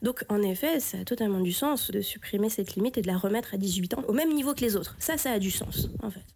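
A middle-aged woman from France explains her clinical work in an interview, stopping often to restart her sentences. Her voice has a gravelly, creaky edge. She speaks at an ordinary pitch for a woman. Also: expressive, fast, darkish tone. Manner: hesitant, many fillers and restarts. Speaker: neurofeedback practitioner. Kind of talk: interview answers.

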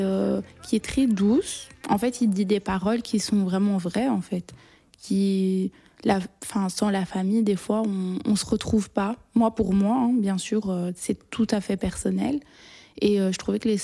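A young woman from Belgium speaks in a soft-edged, mellow tone. Her pitch stays quite flat as she answers personal questions casually, pausing to search for words. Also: creaky. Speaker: interview guest. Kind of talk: casual, personal interview talk.

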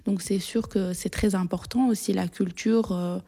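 Mellow young woman, even and hesitant. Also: mid-range voice, normal speed, gravelly, slightly rough. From Belgium. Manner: hesitant, searching for words. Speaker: interview guest. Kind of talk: casual, personal interview talk.

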